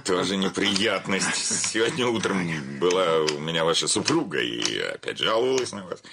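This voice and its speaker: A man's voice: deep voice, big pitch swings, creaky, background noise, full character voice. An actor playing a pompous, effusive, self-satisfied bank chairman in a radio play, with florid, theatrical delivery. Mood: weary, chiding. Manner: gushing, florid, self-important, theatrical drawn-out words.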